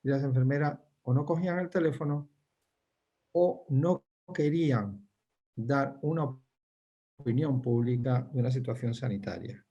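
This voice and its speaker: A man with a husky, mellow voice speaks earnestly as a panelist in an online round table. The sound comes through a dull, muffled lo-fi line. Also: mid-range voice, measured pace. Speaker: panelist, nurse.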